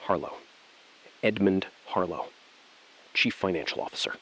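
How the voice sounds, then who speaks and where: An actor playing a calm, evasive chief financial officer in an audio thriller, speaking in a measured, polite, guarded way. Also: male voice, deep voice, breathy edge, heavily acted, rough recording. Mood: measured, composed.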